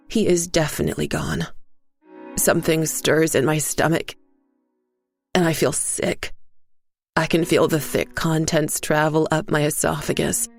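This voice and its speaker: A woman narrates dark fiction in the first person. Her voice is fairly low and creaky, with grave, deliberate phrasing. Soft music sits underneath. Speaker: story narrator.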